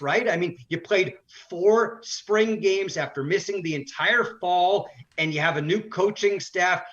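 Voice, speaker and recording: A man's voice: sits high, a bit bright, highs cut off. A man who works as a sports broadcaster, giving conversational analysis as an interview guest.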